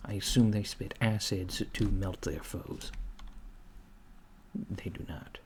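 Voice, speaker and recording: A man doing soft-spoken ASMR roleplay as a polite, curious alien clerk, hesitant and apologetic, often slipping toward a whisper. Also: deep voice, takes it slowly. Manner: hesitant, apologetic, earnest questioning, drifting into whispers. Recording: noisy close recording with keyboard typing. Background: computer keyboard typing.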